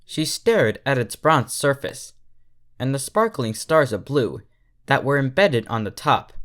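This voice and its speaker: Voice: mid-range voice, expressive, measured pace, fairly bright tone. A storyteller reading scripted fiction aloud as its narrator. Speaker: fan fiction reader, narrator.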